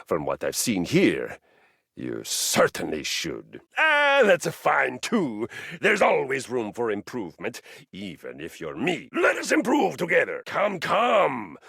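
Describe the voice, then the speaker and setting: Boastful gravelly Viking warrior, hugely animated. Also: male voice, mid-range voice, measured pace, no room sound, studio quality, heavily acted. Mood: self-admiring, then eager, belligerent. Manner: pompous, grandiose, jovially bellicose. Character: boastful, battle-hungry male Viking warrior, a personified treadmill. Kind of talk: theatrical video game character acting.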